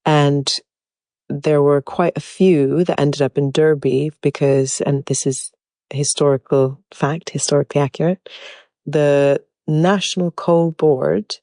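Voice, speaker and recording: A woman from Hungary, a native Hungarian speaker, explaining her role in an interview with a thoughtful, measured manner. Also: deep voice, steady tone, warm tone, no echo, studio quality. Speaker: actor. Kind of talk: interview answers.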